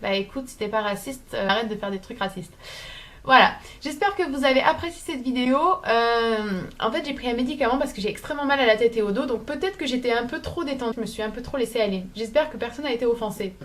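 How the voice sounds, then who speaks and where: Higher-pitched woman, slight southern French accent. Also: fast, a bit bright. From southern France. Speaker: video blogger. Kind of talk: opinionated talk to camera.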